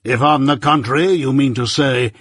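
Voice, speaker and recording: A curt, commanding older lawyer, as a man puts him on, leans on each word with slow, clipped emphasis. The voice is husky. It is recorded close and dry. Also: mid-range voice, fairly bright tone, no room sound. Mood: stern.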